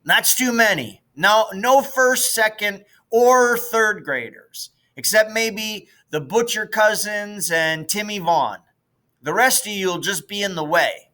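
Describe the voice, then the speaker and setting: A high, bright schoolboy voice, put on by a man reading a storybook. He is commanding and self-important, ordering the other kids around like a little boss. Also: slow, exaggerated voice. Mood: bossy, demanding. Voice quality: high-pitched. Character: bossy fifth-grade boy ordering other kids around.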